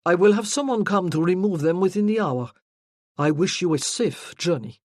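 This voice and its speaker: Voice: medium pitch, normal speed, no echo, studio quality, close to an everyday voice. Narrator doing a steely French-accented earl. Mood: firm. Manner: calm, firm, courteous. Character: French nobleman, charming with steel.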